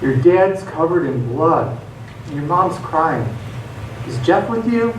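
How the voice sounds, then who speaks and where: A man's voice: a little high, expressive, slow pace. A man playing a worried young friend in a radio play, urgent and informal in his pleading. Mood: alarmed concern. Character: worried young male friend of a runaway teen. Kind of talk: radio theatre acting. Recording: echoey stage, distant mic, faint noise.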